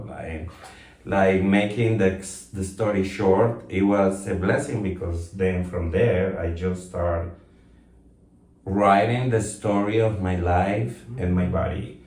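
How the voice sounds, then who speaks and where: A man's voice: low voice, a little slow, a little mellow. A man in his fifties from Colombia, a guest talking reflectively with many fillers and restarts in a casual interview. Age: 50s. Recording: roomy echo, mic at some distance.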